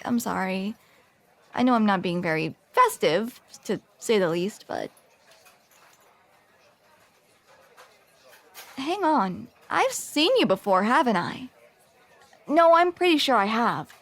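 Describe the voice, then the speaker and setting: A woman playing a grumpy, flustered tsundere barista with a secret soft side in an ASMR roleplay, sardonic, self-deprecating and awkwardly halting. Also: on the high side, full character voice. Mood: flustered, teasing.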